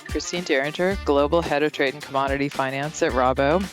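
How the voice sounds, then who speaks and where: A woman in her fifties, originally from France with an American accent, giving measured, articulate, professional interview answers as a bank executive. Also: low-pitched, fairly even intonation, soft background music.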